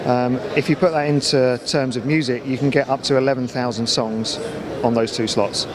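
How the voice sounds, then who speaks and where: A man's voice: mid-range voice, fairly even intonation, normal speed. A middle-aged man explaining products informally in a trade show interview. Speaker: company account manager presenting products. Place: large indoor exhibition hall. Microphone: handheld interview microphone. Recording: busy crowd noise behind. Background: trade show crowd hubbub.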